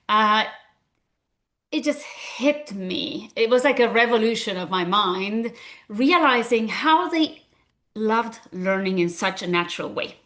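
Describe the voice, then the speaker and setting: Woman, 40s, Argentine accent, interview guest, earnest and animated, mid pitch. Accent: Argentine Spanish. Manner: animated, earnest, frequent fillers. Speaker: guest, school founder and physician. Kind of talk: conversational interview.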